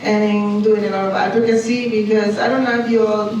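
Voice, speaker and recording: A woman from Chile with a Chilean Spanish accent, a nonprofit founder speaking on a panel, quick and excited, laughing often. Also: mid-range voice, highs cut off. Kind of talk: panel discussion. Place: conference hall. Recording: echoey hall, distant, some noise.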